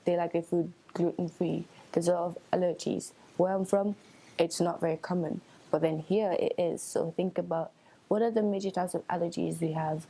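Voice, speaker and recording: A woman's voice: fairly low pitch, mellow, rough recording. A young woman from Switzerland giving informal, earnest interview answers as a student election candidate, thinking aloud in a conversational way. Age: young adult.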